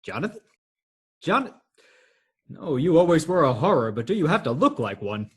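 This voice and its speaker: An actor playing an indignant, sardonic brother in a radio play, scornful and emphatic. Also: male voice, on the high side, big pitch swings, normal speed, breathy edge, heavily acted. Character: indignant, sardonic brother confronting a hated sibling.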